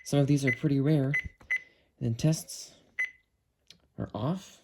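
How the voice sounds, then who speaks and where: A man talks through a casual hands-on product demo, thinking aloud at an unhurried pace. There is clear noise behind him, with clicks from handling the device. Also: medium pitch. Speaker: video creator demonstrating a weather radio. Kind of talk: casual hands-on review narration.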